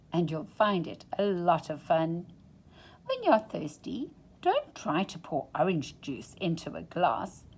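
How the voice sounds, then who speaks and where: Slow, very expressive woman storyteller. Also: on the low side, slightly rough. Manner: dramatic pauses, dropping to hushed near-whispers for effect. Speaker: storyteller reading a children's picture book aloud. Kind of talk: expressive read-aloud storytelling.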